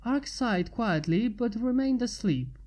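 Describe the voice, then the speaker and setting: Mellow woman reading a story aloud. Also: a little high, lively intonation, measured pace. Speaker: fan fiction reader. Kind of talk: scripted story narration.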